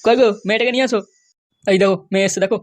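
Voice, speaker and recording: Man doing a touchy, very fast-talking cartoon politician. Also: high voice, steady tone. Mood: ingratiating. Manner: defensive, blustering. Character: touchy middle-aged village politician, scheming father. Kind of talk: comic cartoon dubbing.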